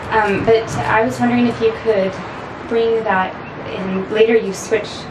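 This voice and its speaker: A woman's voice: a little high, steady tone, unhurried. A woman in the audience asking a long question, hesitant and searching for words. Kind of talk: question from the floor. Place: community farm event space. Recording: distant voice, echoey room, loud noise.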